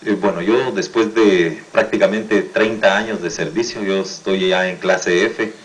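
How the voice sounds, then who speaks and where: A man in his fifties with a Guatemalan accent, speaking formally and deliberately as a union spokesman in an interview. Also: low-pitched, steady tone, poor audio. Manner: formal, deliberate, legalistic wording. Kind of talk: political commentary in an interview.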